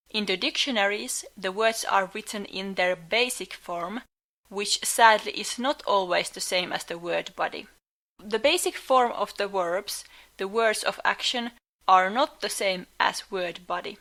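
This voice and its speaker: A native Finnish-speaking woman giving a scripted language lesson, speaking carefully and slowing down for example words. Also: mid-range voice, pushed and bright. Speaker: language teacher.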